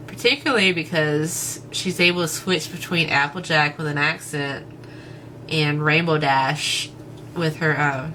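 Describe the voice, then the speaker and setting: Give me a woman with an East Texas accent answering fan questions, bright tone, unhurried. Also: low-pitched, a little hiss or hum. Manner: relaxed, hesitant, many fillers and small laughs. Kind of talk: casual Q&A chat.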